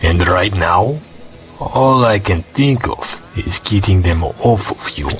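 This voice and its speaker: An actor playing a swaggering, easygoing sidekick in an audio drama, laid-back and boastful. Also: male voice, sits low, expressive, slow, fairly bright tone, heavily acted. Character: swaggering, easygoing male sidekick, loyal fight-world crony. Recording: music behind. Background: music.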